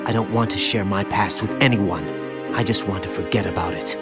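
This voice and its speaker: An actor playing a haunted young soldier and former child soldier, bitter and guilt-ridden, in an anguished video game cutscene. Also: male voice, lowish voice, fairly quick, lightly acted. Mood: resigned sorrow. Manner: anguished, confessional, brooding. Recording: music behind the voice.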